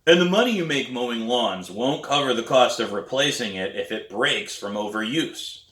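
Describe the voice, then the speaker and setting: A man's voice: medium pitch, normal speed, voice pushed up, close to an everyday voice. A grouchy, skeptical dad acted by a man reading a storybook speaks firmly, with dry sarcasm and plenty of rise and fall. The room around the voice is echoey. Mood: stern, sarcastic. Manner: calm but firm, sarcastic. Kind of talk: acted dialogue in a children's book reading. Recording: roomy echo.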